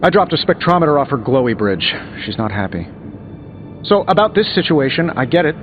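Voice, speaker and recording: An actor playing a wisecracking, easygoing wealthy superhero inventor in a video game, talking in a glib, wry, conversational way. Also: male voice, fairly high pitch, very animated, fairly quick, lo-fi sound, noisy background. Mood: conciliatory. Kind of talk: video game dialogue acting.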